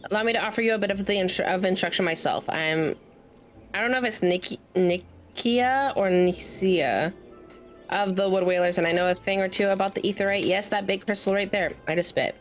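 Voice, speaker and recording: A woman's voice: medium pitch, brisk. A woman doing casual let's play commentary, chatty and playful, often breaking off mid-sentence and reading game text aloud. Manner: chatty, self-interrupting, playful asides while snacking; reads game dialogue aloud, stumbling over fantasy names. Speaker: streamer, gamer. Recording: game music behind the voice. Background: video game music.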